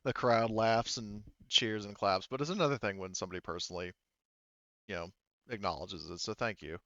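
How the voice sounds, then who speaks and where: This is a gracious, friendly sergeant woman from a tabletop game acted out by a man. The voice is clear and sits a little low. It is polite and appreciative, on a dry, slightly muffled recording. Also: a little fast, bright, forceful tone, dry recording. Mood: warm, grateful. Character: friendly, gracious army sergeant woman who does stand-up.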